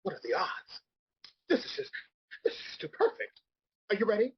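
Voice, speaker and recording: Casting: male actor as sassy, gossipy drag queen, theatrical drawn-out lines, lively swoops, slightly raspy, dull recording. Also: sits high, exaggerated voice. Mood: playful, gleeful. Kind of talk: comedic live table read. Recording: dull lo-fi audio.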